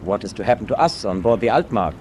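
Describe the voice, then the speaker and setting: Male actor as German naval chief engineer, radio drama: lowish pitch, concerned, formal and respectful, faint noise behind. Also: animated, fast talker, darkish tone, close to an everyday voice. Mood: grave, anxious. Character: worried, dutiful German ship's chief engineer.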